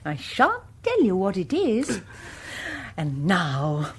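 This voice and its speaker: A woman's voice: lowish voice, wildly swooping pitch, takes it slowly, breathy edge, heavily acted. An actress playing an affected, gushing society queen with an upper-class English accent in a radio comedy. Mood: coy, grandiose. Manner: gushing, pretentious, peppers talk with Italian and baby talk. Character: grand affected society queen, a gracious scheming hostess.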